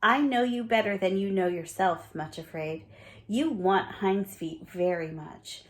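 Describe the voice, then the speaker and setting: A woman playing a cheerful, gentle shepherd. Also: mid-range voice, a little slow, natural voice. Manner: warm, reassuring and cheerful. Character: cheerful, kind male shepherd, gentle and strong.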